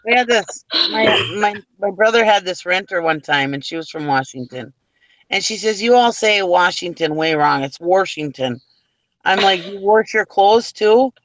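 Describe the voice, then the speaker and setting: A man's voice: sits high, lively intonation, moderate pace, a bit bright, no echo, low-quality recording. A man chatting casually, recounting anecdotes with amusement. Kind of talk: casual group chat.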